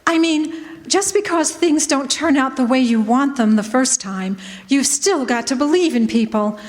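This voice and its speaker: A woman playing a caring, sensible mother in a stage radio drama, earnest and expressive. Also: high-pitched, moderate pace. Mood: earnest, soothing. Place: college campus stage performance in a hall. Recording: echoey hall.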